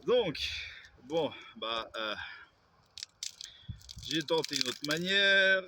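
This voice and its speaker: A man's voice: a little high, expressive, very slow, sharp tone. A man, vlogging in a rambling way, with hesitations and self-corrections. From Belgium. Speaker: YouTuber. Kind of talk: casual vlog monologue.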